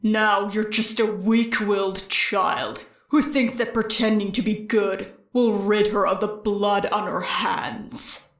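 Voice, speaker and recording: A woman voicing a cold, contemptuous male villain in an audiobook, taunting quietly. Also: medium pitch, steady tone, slow pace, few pauses, echoey room, far from the mic. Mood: cold contempt. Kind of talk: audiobook character acting.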